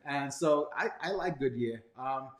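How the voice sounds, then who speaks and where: A man's voice: mid-range voice, measured pace. A middle-aged man, chatting casually as the outspoken, jokey host of a live Q&A. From New York. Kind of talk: casual livestream chat. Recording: echoey room sound.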